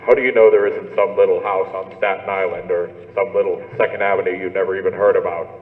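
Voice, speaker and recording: A male actor as a stolid police sergeant on a thin phone line in a radio thriller. His lowish voice is placating yet brusque and patronizing, with a long echo around it. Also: little pitch movement, fast. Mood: skeptical. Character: unhurried, brusque, dismissive police desk sergeant. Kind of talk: suspense radio play acting. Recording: tinny phone-like band, echoey hall.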